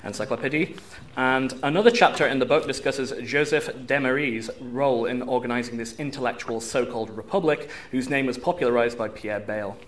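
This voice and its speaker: A man's voice: medium pitch, a little fast, few pauses. A man reading quiz questions formally as quizmaster, enunciating carefully in a live room. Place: university room at a quiz tournament. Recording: live room with background noise.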